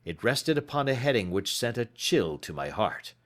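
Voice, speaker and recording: A man reading scripted literary narration as an audiobook narrator, in a measured, dramatic storytelling manner. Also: mid-range voice, big pitch swings, fairly bright tone.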